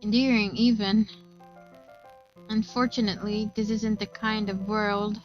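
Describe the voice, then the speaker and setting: A woman streamer reading visual novel narration aloud in an expressive storytelling manner. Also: mid-range voice, unhurried, muffled recording. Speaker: gaming streamer. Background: faint game music.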